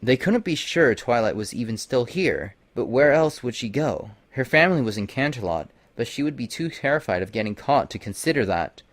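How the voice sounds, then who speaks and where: A man's voice: medium pitch, lively intonation, fairly quick, few pauses, dry recording. A man reading scripted fiction aloud as an audiobook narrator. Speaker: audiobook reader.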